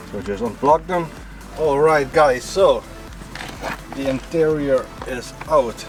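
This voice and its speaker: A Dutch-accented man talks unhurriedly through a car door repair, coaching a helper and turning to his viewers as guys. He speaks at a relaxed, drawn-out pace in a casual how-to manner. Music plays clearly behind his voice. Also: mid-range voice. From Netherlands. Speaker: car repair channel host. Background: background music.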